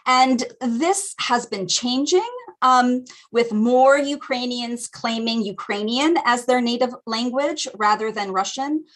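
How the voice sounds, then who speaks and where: An American woman lecturing over a video call, her voice sitting a little high for a woman. She explains things in a thoughtful, academic way and pauses often on ums. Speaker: guest lecturer. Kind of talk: academic lecture at a community teach-in.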